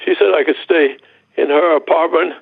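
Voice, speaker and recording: A man's voice: a little high, normal speed, vocal fry, dry recording. A man casually recalling memories as an interviewee, in plain conversational English. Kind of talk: casual recollection. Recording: dull, band-limited, dry interview recording.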